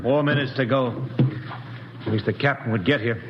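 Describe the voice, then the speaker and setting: An actor playing a jittery, homespun young space lieutenant in a 1950s radio drama, talking in an edgy, colloquial way. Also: male voice, mid-range voice, moderate pace, lightly acted. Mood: nervous. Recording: muffled, hissy 1950s radio recording.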